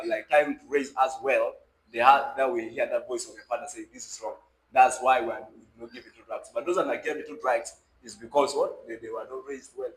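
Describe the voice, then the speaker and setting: A man's voice: mid-range voice, fairly bright tone. Young South Sudanese man in an echoey room. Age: young adult. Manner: emphatic, repeatedly checks listener understands with questions. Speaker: podcast guest. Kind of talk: conversational opinion-giving. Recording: roomy echo with faint background noise.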